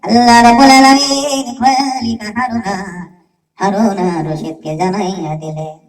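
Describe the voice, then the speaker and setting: A woman narrates an Islamic tale dramatically in a fairly high voice. She leans on phrases, repeating them for emphasis. The voice sits a little far from the mic, with music behind it. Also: steady tone, a little slow. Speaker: religious storyteller.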